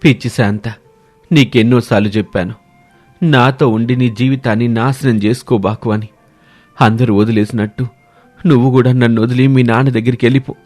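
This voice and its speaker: A man acting sickly outcast husband, despairing, rustic, self-pitying, storybook dialogue, soft music behind. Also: mid-range voice, fairly even intonation, normal speed, close to an everyday voice.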